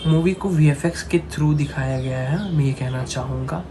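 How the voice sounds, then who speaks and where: Halting Indian man over clear background noise. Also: a little high. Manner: halting, repetitive, mixes English phrases into Hindi. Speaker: film review channel host. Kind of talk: unscripted movie review to camera.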